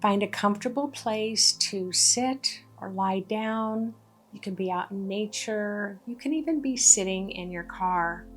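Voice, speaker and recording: A woman leading a guided meditation in a gentle, deliberate way, pausing between short phrases. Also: medium pitch. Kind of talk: guided meditation, soothing narration. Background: background music.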